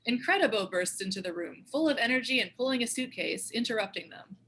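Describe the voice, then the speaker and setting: A woman's voice: a little high, lively intonation, fast talker, fairly bright tone, a little hiss or hum. A woman narrating the stage directions of a screenplay in a scripted read.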